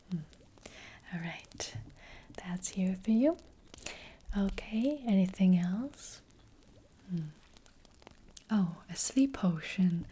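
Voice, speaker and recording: A woman acts out a gentle, careful witch who tells fortunes and sells potions in an ASMR roleplay. The voice is a breathy whisper, very slow and mellow, with hesitant, reassuring phrasing. It sits close to the mic over faint noise and soft clicks. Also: lowish voice. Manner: hesitant, unhurried, softly reassuring.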